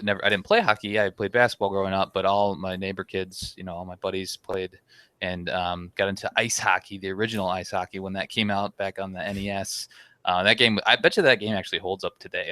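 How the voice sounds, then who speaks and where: A man's voice: deep voice, steady tone, fast talker, pushed and bright, no echo. A man in his thirties co-hosting a podcast, chatting casually and leading the talk with questions. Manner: leads the talk, asks questions. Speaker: podcast co-host. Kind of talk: casual chat.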